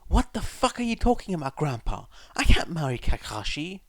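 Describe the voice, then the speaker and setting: A brash teenage boy in a story reading, acted out by a man. The voice jumps well above a grown man's register and comes out loud and indignant. He blurts and stammers, clueless and easily outraged. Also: on the high side, sing-song, normal speed, no echo, pitched above the performer's own voice. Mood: shrieking outrage.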